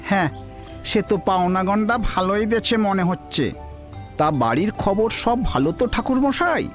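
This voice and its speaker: A man's voice: sits high, animated, moderate pace, faint music behind. A man playing a chatty village neighbor who talks deferentially to the priest. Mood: polite. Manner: deferential, polite.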